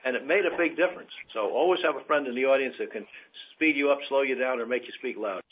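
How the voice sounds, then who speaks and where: A man's voice: medium pitch, fast talker, husky. A man hosting a call-in show, adding a folksy tip with a personal story. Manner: folksy, adds tips with anecdotes. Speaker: host. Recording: tinny phone line with faint noise.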